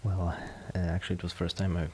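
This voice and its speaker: A man speaking softly and breathily in a casual ASMR friend roleplay, rambling and hesitant, with self-deprecating asides. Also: sits low, level tone, quick pace, slight background noise. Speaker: ASMR roleplay host playing a musician friend. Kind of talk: soft ASMR roleplay, casual intimate chat.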